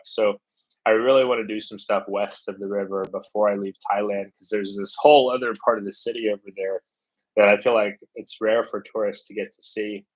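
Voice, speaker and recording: Casting note: man from the US, slightly low voice, easygoing travel streamer, rambles and restarts. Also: low-quality recording. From United States. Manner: rambling, thinking aloud with many fillers. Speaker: travel vlogger. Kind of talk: casual livestream chat.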